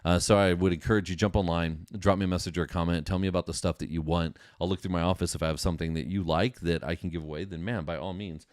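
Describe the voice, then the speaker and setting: A middle-aged American man with a low voice and a dry, close sound. He chats informally as a podcast host, enthusiastic and full of casual slang. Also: fairly even intonation, fast talker, few pauses, a little mellow, no echo. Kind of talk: casual solo livestream chat.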